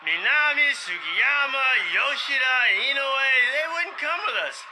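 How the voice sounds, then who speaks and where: High-pitched boisterous tipsy rebel buddy. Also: male voice, very animated, a little slow, background noise, heavily acted. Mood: boozy, cajoling. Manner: loud, slangy, leering. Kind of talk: anime dub audio drama acting.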